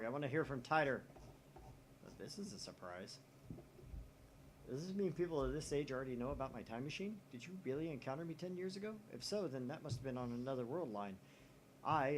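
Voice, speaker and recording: A man's voice: medium pitch, slight background noise, low-quality recording. A man hosting a let's play, reading a visual novel's chat posts aloud and swearing casually.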